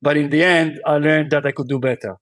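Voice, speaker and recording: Halting, fairly high-voiced man from Israel. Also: steady tone, fairly bright tone, dry recording, studio quality. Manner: hesitant, many fillers and restarts. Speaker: podcast guest, real estate investor. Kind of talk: conversational interview answers.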